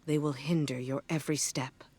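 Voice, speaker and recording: Solemn, low-voiced regal mission-giver. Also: female voice, fairly even intonation, normal speed, darkish tone. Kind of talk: game dialogue.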